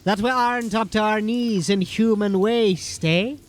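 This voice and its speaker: An actor playing a rough, cheeky rogue in a fantasy audio drama, talking in a colloquial, wryly complaining way. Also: male voice, sits high, fairly even intonation, a little slow. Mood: grumbling.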